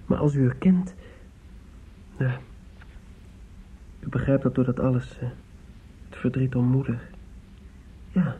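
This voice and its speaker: An actor playing an earnest, respectful young son in a radio drama, speaking hesitantly and deferentially. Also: male voice, mid-range voice, unhurried, long pauses, husky. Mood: nervous, earnest. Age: young adult. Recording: muffled, noisy old radio play recording.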